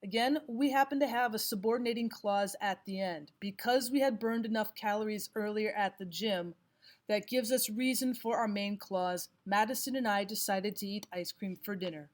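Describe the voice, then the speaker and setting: A woman narrating a scripted lesson as a teacher, reading items aloud in a measured, instructional way. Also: mid-range voice. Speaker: English grammar teacher.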